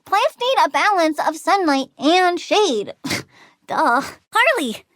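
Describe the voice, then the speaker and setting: Game villainess: very high, girlish, wildly swinging voice, bubbly plant-obsessed brat with a menacing, sardonic streak. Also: takes it slowly, dry recording, studio quality, heavily acted. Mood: sardonic, sulky, then gleeful, excited. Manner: childlike, gleeful, sardonic, swinging between chirpy and deadpan. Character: bubbly, bratty, menacing young plant-girl supervillain. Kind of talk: video game character voice lines.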